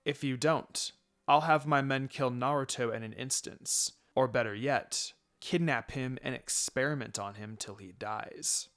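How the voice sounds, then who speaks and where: Man playing a measured, menacing old schemer. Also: mid-range voice, fairly bright tone. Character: sinister old man, scheming village elder, coldly threatening.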